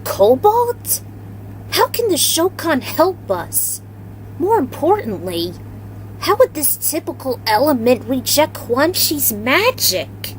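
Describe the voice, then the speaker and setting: A woman's voice: high voice, sing-song, slow pace, a little hiss or hum, pitched above the performer's own voice, exaggerated voice, poor audio. A woman voicing a cold, wary male ninja assassin with an indignant, questioning manner in a fantasy story. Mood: disbelieving.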